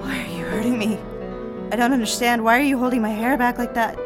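A woman's voice: fairly high pitch, fairly even intonation, quick pace, lightly acted. An actress playing an anxious, submissive young secretary in love with her boss, in a romantic audio drama with pleading, formal speech. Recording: music behind the voice.

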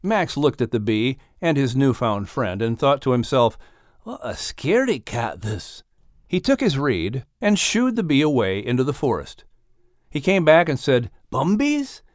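A man narrating an audiobook story in an expressive, scripted storytelling style. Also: medium pitch, moderate pace, no room sound, clean studio sound.

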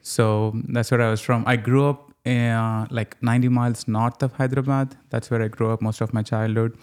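A man's voice: mid-range voice, flat delivery, moderate pace, darkish tone, smooth. A man from South India with an Indian accent, a cardiologist chatting casually as a podcast guest. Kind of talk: casual conversational storytelling.

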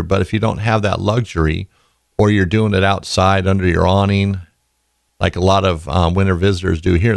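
A man with a very low voice, full of fry and rasp from a lingering illness. He talks in a loose, rambling way, drifting onto side stories. The recording is dry and close. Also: dry recording. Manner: rambling, folksy, goes off on tangents. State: raspy from illness, tired. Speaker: podcast host. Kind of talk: casual podcast talk.

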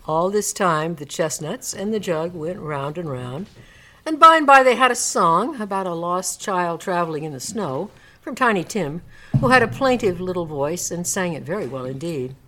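A woman's voice: lowish voice, lively intonation, normal speed, fairly bright tone, low-quality recording. A woman narrator reading a classic story aloud in a dramatic scripted storybook style. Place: radio studio.

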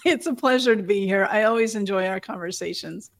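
A woman speaking as a podcast guest, giving earnest, articulate, measured interview answers. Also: medium pitch. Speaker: communications consultant, podcast guest.

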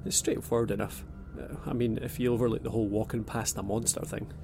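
A man playing a wry, gravelly young abductee. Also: medium pitch, steady tone, fast talker, close to an everyday voice. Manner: dry, sardonic humour. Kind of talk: audio drama acting. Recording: music score underneath.

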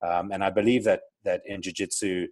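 A man's voice: low voice, flat delivery, brisk, a little mellow, no echo. A middle-aged man with a South African accent, a podcast guest, talking reflectively with many restarts and fillers. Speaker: guest, entrepreneur. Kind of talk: casual interview conversation.

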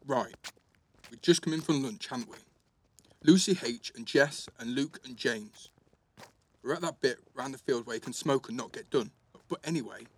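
Defensive teenage lad, higher-pitched. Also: male voice. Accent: English, East Midlands. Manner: blunt, slangy, full of tag questions. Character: defensive, fuming, sweary teenage schoolboy in care. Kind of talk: naturalistic audio drama dialogue.

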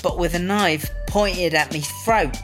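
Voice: medium pitch, a little slow, natural voice. A performer playing a weary, wry British woman inmate in a comedy audio drama, speaking colloquially and a little hesitantly. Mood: uneasy, exasperated. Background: music.

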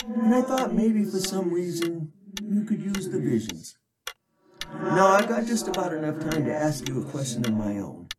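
A man's voice: on the high side, big pitch swings, moderate pace, noisy background. A man playing the ghost of a wry, slangy old 1920s sportswriter in an audio drama, with a theatrical delivery. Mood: amused, fond. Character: ghost of a wisecracking, worldly old sportswriter, fond of booze.

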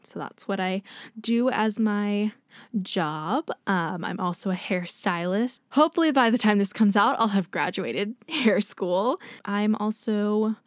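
A young woman co-hosting a podcast in casual chat, talking fast and chattily with lots of fillers and laughs. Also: mid-range voice, no echo, clean studio sound. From United States. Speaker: podcast co-host, makeup artist.

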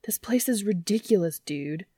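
A woman's voice: medium pitch, expressive, moderate pace, warm tone, breathy edge, no echo. A woman voicing a brash, slangy, earnest man in an audiobook-style story. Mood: excited, teasing. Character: brash, swearing, tender young-ish man named Dean.

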